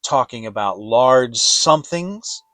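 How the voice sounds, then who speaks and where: A man voicing a gentle, humble, slow-witted toy bear in a storybook, plaintive and hesitant. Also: medium pitch, expressive, no echo, faint music behind. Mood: dreamy, wistful.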